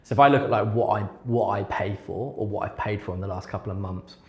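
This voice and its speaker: Low-voiced man chatting in an echoey room. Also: quick pace, a little mellow. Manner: informal, hesitant, repeats words for emphasis. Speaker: podcast guest, recruitment technology consultant. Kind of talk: casual interview chat.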